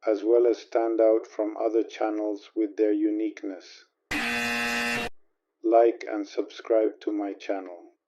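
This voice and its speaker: A man's voice: fairly low pitch, little pitch movement, slow pace. A man giving a scripted channel introduction as a content creator. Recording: dull, noisy lo-fi clip with clicks.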